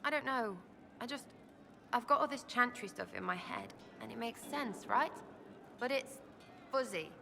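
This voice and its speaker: An actress playing a brash, irreverent young streetwise rebel in a video game, with a British accent and blunt, cheeky, choppy phrasing. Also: female voice, on the high side, normal speed, sharp tone, faint music behind. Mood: hesitant, earnest. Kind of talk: video game dialogue acting. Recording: clearly noisy ambient background.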